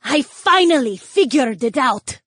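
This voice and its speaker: A man doing Hortensia, a raspy, cackling madwoman. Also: very high voice, lively intonation, unhurried, a bit bright, dry recording, clean studio sound, pitched above the performer's own voice, full character voice. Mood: triumphant. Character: deranged cackling woman Hortensia.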